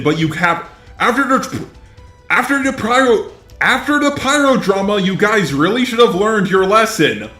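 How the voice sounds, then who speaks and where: A man's voice: sits high, a bit bright, roomy sound. A man delivering opinionated drama commentary in a blunt, profane, indignant way. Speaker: commentary YouTuber, host.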